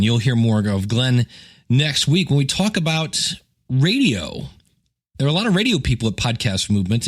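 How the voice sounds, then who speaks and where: A man casually hosting a podcast and chatting with contributors. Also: mid-range voice. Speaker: podcast host.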